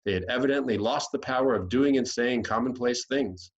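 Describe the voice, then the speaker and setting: A man's voice: mid-range voice, brisk, lo-fi sound. An American man reading a classic storybook aloud as scripted narration. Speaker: audiobook reader.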